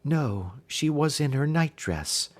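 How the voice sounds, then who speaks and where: A troubled young gentlewoman of a Victorian storybook , voiced by a man reading aloud. She speaks earnestly and formally, carefully laying out her account. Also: a little high, expressive, takes it slowly. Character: Helen, distressed young gentlewoman recounting her sister's death.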